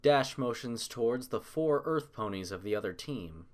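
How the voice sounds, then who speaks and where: A man's voice: mid-range voice, expressive, normal speed. A man reading scripted story narration as the narrator.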